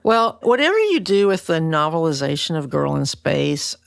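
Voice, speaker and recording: Close, dry sound carries an older woman's deep voice with a gravelly creak. She hosts an interview casually, giving her views in a plainspoken way. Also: sing-song, normal speed, no room sound. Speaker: podcast host, interviewer.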